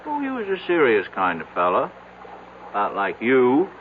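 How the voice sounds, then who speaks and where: An actor playing a wry, unhurried country doctor in a 1970s radio drama, teasing and folksy in his delivery. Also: medium pitch, wildly swooping pitch. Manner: teasing, leisurely, needling. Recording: tinny, noisy 1970s radio broadcast.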